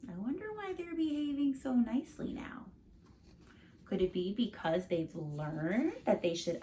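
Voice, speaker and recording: A woman reading a storybook aloud to children like a teacher, expressive and emphatic, pausing to put questions to listeners. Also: medium pitch, slight background noise, low-quality recording.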